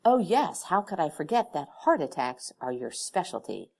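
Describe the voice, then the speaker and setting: Casting: reserved but fierce female assistant for an audiobook woman reader, low pitch, very animated. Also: moderate pace, vocal fry, exaggerated voice. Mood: alarmed, sarcastic. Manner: firm, formal, challenging. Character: protective, reserved female assistant, easily exasperated.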